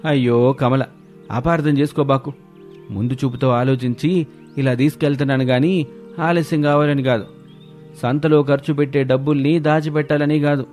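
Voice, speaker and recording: A man's voice: mid-range voice, steady tone, moderate pace, a little mellow, faint music behind, close to an everyday voice. A man voicing a patient village husband in a story, placating and explaining himself. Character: placating village husband driving a bullock cart.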